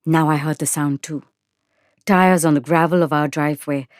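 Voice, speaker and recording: A woman narrating a first-person short story in an expressive, measured, dramatic way. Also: deep voice, no echo, clean studio sound. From India. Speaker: narrator and actor.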